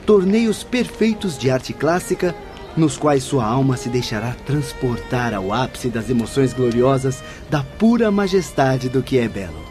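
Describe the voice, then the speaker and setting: A man's voice: mid-range voice, animated, a little slow, natural voice. Courteous spirit guide played by a man over music. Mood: welcoming. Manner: formal, hospitable. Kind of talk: radio drama acting. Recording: music under the voice.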